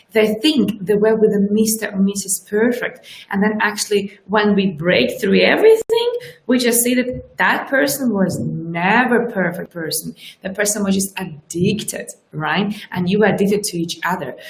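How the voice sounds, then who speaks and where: A Russian-native woman in her forties talks straight into a webcam, coaching viewers with lively energy. Her voice sits at a middle pitch and sounds roomy and echoey, as if she is in a small curtained room. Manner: lively, animated, keeps asking "right?". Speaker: life coach. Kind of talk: live-streamed motivational talk. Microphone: webcam at desk distance.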